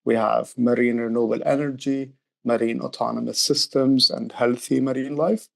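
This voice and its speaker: Middle aged guy, bit gravelly, explaining stuff earnestly in an interview. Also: mid-range voice, steady tone, normal speed. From Egypt. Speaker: youth program director, interview guest.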